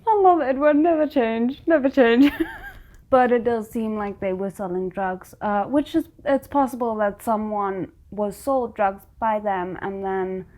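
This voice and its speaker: A woman's voice: a little high, unhurried, darkish tone. A woman hosting a reaction video, thinking aloud about the story and talking back to the characters on screen. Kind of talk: casual reaction commentary.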